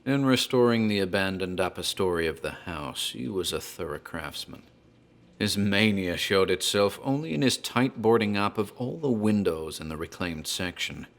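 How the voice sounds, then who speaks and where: A man narrating a gothic horror tale in a measured, formal, dramatic literary style. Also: low-pitched, fairly bright tone.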